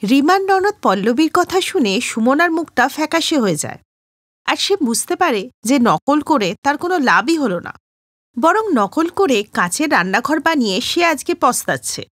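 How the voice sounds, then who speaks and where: High-pitched lively woman storyteller. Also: moderate pace, dry recording, clean studio sound. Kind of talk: scripted narration of a moral story for children.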